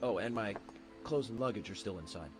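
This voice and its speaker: An actor playing an eccentric, self-assured detective in a video game who muses to an unseen companion. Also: male voice, on the low side, expressive, a little fast, close to an everyday voice. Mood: matter-of-fact. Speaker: video game lead character, FBI agent. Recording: loud music over the voice.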